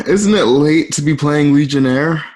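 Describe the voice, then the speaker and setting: A man acting a menacing, possessive young Roman emperor in an amateur radio drama, with a deliberate, theatrical delivery. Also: fairly high pitch, darkish tone. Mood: probing, condescending.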